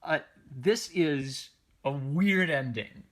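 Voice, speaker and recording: A man's voice: a little high, slow pace, fairly bright tone. A man giving casual, unscripted commentary as a comic book reviewer, enthusiastic and rambling, often circling back on his phrases.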